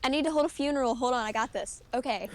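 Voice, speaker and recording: A young woman streamer with a high voice, chatting casually and rambling, often giggling and restarting her sentences. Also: fast, a little hiss or hum. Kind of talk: casual gaming chat.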